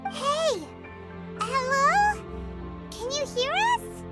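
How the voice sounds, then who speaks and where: A woman voicing a tiny, excitable, childlike fairy companion in a video game, bubbly and bossy, with squeaky exclamations. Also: extremely slow, over loud music, exaggerated voice. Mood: worried. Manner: exclamatory, refers to self in third person.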